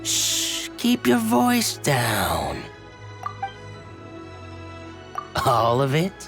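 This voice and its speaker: A man's voice: medium pitch, huge pitch swings, very slow, exaggerated voice. A man voicing a shifty merchant in a video game, furtive and conspiratorial in manner. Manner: furtive, conspiratorial, hushing then blustering. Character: shifty male merchant with shady side dealings. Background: game music.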